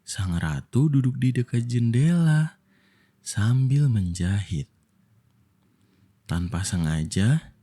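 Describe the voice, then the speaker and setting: A young Indonesian man speaks in a very dark, mellow, breathy voice, intimate and unhurried. He plays an affectionate boyfriend telling a bedtime story in soft ASMR style, with playful casual asides. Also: fairly low pitch.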